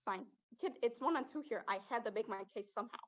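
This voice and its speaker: A woman's voice: on the high side, fast, dull audio, natural voice. A young woman playing a jaded male spirit in a girl's body, talking streetwise in a student stage play. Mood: resigned, gruff. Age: young adult. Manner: streetwise, drops grammar, calls listener kid. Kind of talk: student one-act stage acting.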